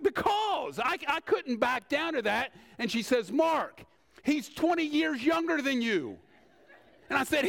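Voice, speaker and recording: An older man preaching in a conversational, storytelling way, with rhetorical questions and deliberate pauses. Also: high voice, gravelly, fairly bright tone. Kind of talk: sermon with personal anecdotes.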